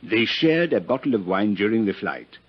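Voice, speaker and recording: An actor playing an urbane, wry French police inspector with a husky voice and elaborate politeness, in a 1950s radio drama. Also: male voice, lowish voice, very animated, moderate pace. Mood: matter-of-fact. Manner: suave, droll, elaborately polite. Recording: dull, lo-fi 1950s radio recording.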